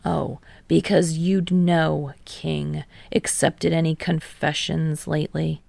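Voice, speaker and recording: A woman's voice: sits low, level tone, slow, vocal fry, darkish tone, no room sound, full character voice. A woman voicing a sarcastic, defensive teenage boy with a dry, drawling, snappish delivery in a story reading.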